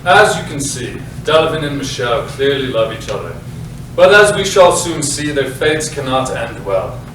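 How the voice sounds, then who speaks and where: A man playing a pompous, defensive playwright, deliberately addressing the audience in a comedic staged monologue. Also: medium pitch, lively intonation, a bit bright, lightly acted. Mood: grandiose. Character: pompous self-important playwright, bitterly defensive about his divorce. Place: live staged reading in a roomy space. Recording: very echoey room, distant mic, hiss.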